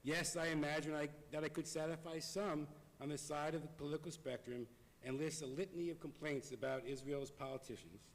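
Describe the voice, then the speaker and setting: Hoarse man giving a measured speech. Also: a little high, steady tone. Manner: earnest, measured, reading from notes. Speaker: congregant giving an appeal. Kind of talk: prepared speech. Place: synagogue sanctuary. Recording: long hall reverb.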